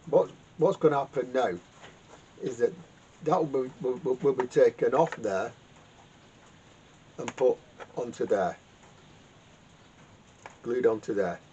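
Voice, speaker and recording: Halting man, dull noisy recording. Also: mid-range voice, mellow. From United Kingdom. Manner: halting, rambling, repeats words as he explains. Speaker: vintage radio repairer and video host. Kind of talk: casual unscripted workshop demonstration. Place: home garage workshop. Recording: dull lo-fi sound with clear background noise.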